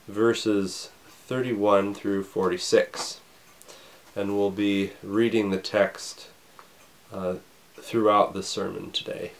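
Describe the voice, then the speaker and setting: A man in his thirties, a pastor, preaching a recorded sermon from his home study with a scratchy voice and a slight cough, earnest and measured. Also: fairly low pitch. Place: home study with bookshelves, indoors.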